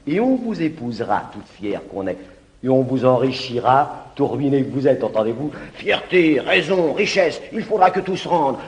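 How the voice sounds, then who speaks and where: A man's voice: mid-range voice, close to an everyday voice. A man playing a scheming former valet in a classic stage comedy, insistent and laughing as he coaxes his master. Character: Dubois, scheming former valet, confident and bossy. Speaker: actor in radio play.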